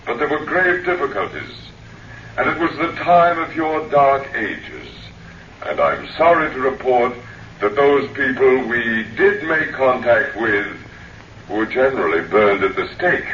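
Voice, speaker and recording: An actor playing a dignified, elderly-looking alien lecturer in human guise in a radio drama, formal, deliberate and oratorical. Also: male voice, mid-range voice, animated, bright, forceful tone, muffled recording. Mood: grave. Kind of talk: old radio drama speech. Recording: echoey, distant, noisy vintage radio recording.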